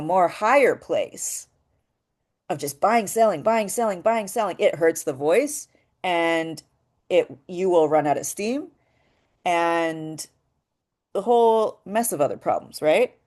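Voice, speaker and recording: A woman with a naturally deep voice, leading a voice workshop in a thoughtful, searching way, with many pauses and restarts. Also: darkish tone. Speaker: voice workshop leader. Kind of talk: reflective workshop talk.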